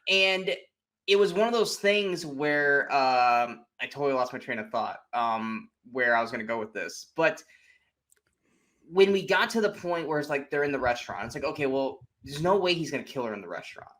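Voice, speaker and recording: A man co-hosting a film podcast in a casual chat, animated and sweary, acting out his reactions as he retells things. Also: medium pitch, moderate pace. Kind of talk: casual movie spoiler chat.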